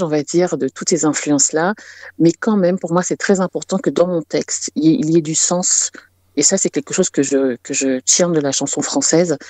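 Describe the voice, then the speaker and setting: A woman singer answering interview questions, pausing often with fillers and restarting her sentences. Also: deep voice, warm tone, no echo. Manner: hesitant, many fillers and restarts. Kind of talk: casual radio interview answers.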